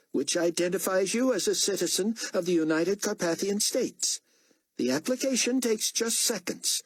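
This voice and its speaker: A man voicing a menacing male official who loudly announces orders to prisoners. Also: breathy edge, no room sound, poor audio. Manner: loud commanding announcement, raised high voice.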